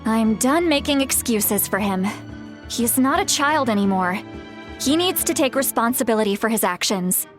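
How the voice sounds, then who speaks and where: A woman's voice: fairly high pitch, animated, normal speed, faint music behind, close to an everyday voice. A woman playing a composed young leader in a game who speaks firmly. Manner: firm, composed. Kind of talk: game dialogue.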